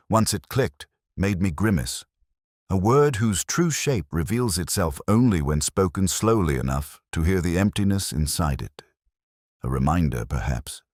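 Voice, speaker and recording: A man reading a scripted first-person detective story as narrator, in a measured, reflective, literary manner. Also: low voice, no echo, clean studio sound.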